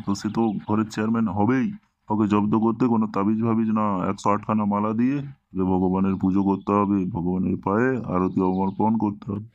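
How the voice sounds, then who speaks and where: Man playing a pompous, low-voiced, fast-talking tantric. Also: a little mellow, no room sound, close to an everyday voice. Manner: pompous, preachy, scolding. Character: domineering male village tantric holy man, scolding and self-important. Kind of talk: comedy cartoon dialogue. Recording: dull lo-fi, very dry cartoon dub.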